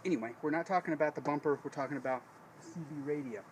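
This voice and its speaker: A man's voice: fairly high pitch, very fast. Folksy American man, noisy on-location audio. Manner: folksy, rambling, self-correcting. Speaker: host of a DIY car installation video. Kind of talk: casual how-to demonstration. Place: outdoors beside a vehicle.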